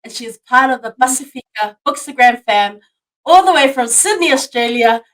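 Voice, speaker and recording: A woman's voice: fairly high pitch, expressive, moderate pace, a bit bright, poor audio. A woman hosting a podcast over a video call, chatting casually and laughing often, calling her guest "sis". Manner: bubbly, laughs often, says "sis" and "fam". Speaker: podcast host. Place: Japan.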